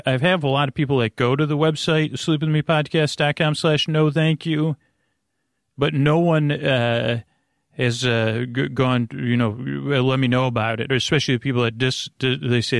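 Male podcast host, fry-laden voice, dry sound, friendly bedtime rambling, halting, stammering, tangential. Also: mid-range voice, no room sound. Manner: friendly, gentle companion. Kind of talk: rambling, meandering bedtime monologue addressed to the listener.

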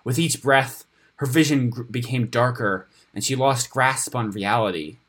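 Husky-voiced man narrating a fantasy story. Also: mid-range voice, measured pace, a bit bright. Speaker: story reader on a podcast.

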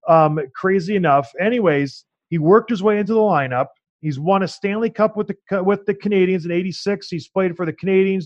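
A man's voice: high-pitched, no room sound. A man hosting a podcast, chatty and upbeat, often laughing with his guest. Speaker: podcast host. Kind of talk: casual podcast conversation. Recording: dry, slightly dull sound.

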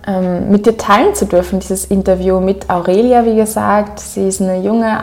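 Upper Austrian woman with gravelly fry. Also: mid-range voice, darkish tone. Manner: chatty, frequent ums, friendly direct address. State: recovering from a cold. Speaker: podcast host. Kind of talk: solo podcast intro.

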